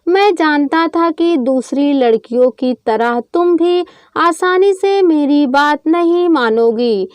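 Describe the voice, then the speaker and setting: Casting: woman reading as callous young college man, cold menace, high voice, slow deliberate threats, audio storybook. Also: no echo.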